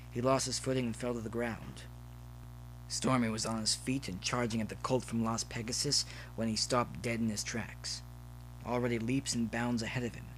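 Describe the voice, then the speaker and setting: A man's voice: medium pitch, slight background noise, poor audio. A man reading a story aloud as narrator in an amateur recording. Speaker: audio fiction reader. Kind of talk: scripted story narration with asides.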